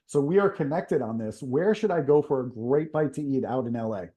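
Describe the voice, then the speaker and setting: A man's voice: medium pitch, animated, brisk, mellow. A man hosting a podcast interview in a casual, upbeat way, often laughing and praising his guest. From Israel. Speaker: podcast host.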